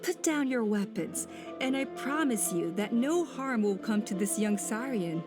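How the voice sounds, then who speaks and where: An actress playing a cold, scheming woman who seized power in her tribe, speaking calmly, menacingly and condescendingly. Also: on the high side, measured pace. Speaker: villain. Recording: game voice over music.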